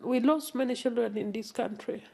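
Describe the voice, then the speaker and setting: A woman, speaking halting, broken English as a worried mother in an emotional interview. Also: on the high side, gravelly, very noisy. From South Sudan.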